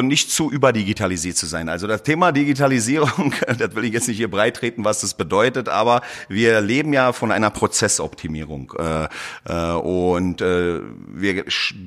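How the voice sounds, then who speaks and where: A man from Berlin answering conversationally as an interview guest, explaining things with frequent hesitant pauses. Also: mid-range voice, fairly bright tone. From Berlin, Germany. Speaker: tradesman and social media creator, interview guest.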